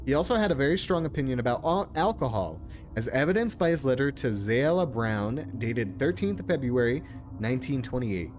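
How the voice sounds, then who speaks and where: A man's voice: mid-range voice, very animated, fairly quick, a little mellow, faint music behind. A man giving casual playthrough commentary as a gaming streamer, with an irreverent, joking, sweary manner.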